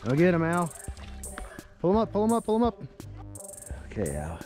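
A man's voice: high voice, wildly swooping pitch, moderate pace, a little mellow. Man in his forties, camping vlog, upbeat outdoor narration, camera mic, music behind. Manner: enthusiastic, coaching and narrating on the go. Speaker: vlogger. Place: outdoors at a forest lakeside and campsite.

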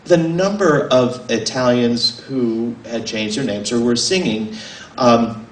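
Halting man talking in a roomy library. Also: medium pitch, a little hiss or hum. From United States. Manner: rambling and halting, many fillers and restarts. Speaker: author. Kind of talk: informal talk to a small audience. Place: library room, indoors. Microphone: table mic on a stand, filmed from a distance. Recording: roomy, mic at a distance.

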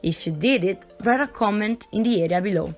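Female narrator, mid-pitched, measured scripted tour voice-over over faint music. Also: lively intonation.

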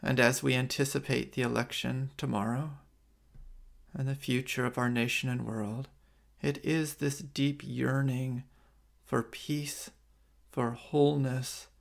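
Brief: American man in his forties, priest leading evening prayer from home over a webcam, gravelly voice, slow and measured. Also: medium pitch, level tone. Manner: slow, measured liturgical reading. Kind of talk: reflective homily and night prayer. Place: living room at home, indoors at night. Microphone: webcam on a video stream.

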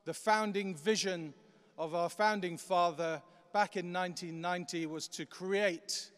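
High smooth-voiced middle-aged guy, formal upbeat speech, cathedral echo. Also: fairly even intonation, unhurried, fairly bright tone. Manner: formal, upbeat, projecting to a crowd. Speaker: university vice-chancellor. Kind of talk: ceremonial speech. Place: large cathedral. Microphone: lectern microphone. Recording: long cathedral reverb.

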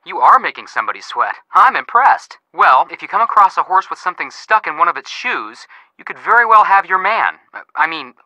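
Bright, lively, quippy young guy. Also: high voice, a little fast, few pauses, dry recording, heavily acted, poor audio. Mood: impressed, teasing. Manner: quippy, enthusiastic. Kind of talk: scripted video game dialogue.

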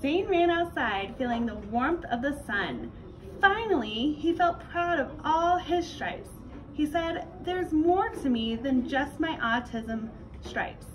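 High-voiced young woman reading aloud. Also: animated, slow pace, poor audio. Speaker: school staff member presenting a read-aloud. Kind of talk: explaining and reading aloud a children's book. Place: small school room, indoors. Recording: faint background noise in room.

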